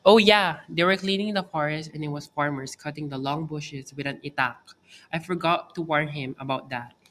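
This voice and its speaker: A woman puts on an elderly Filipino grandmother from a storybook. Her voice is low, and she teases and soothes as she spins her tales. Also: normal speed, fairly bright tone, slight background noise. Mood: amused, casual. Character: teasing, chuckling old Filipino grandmother storyteller.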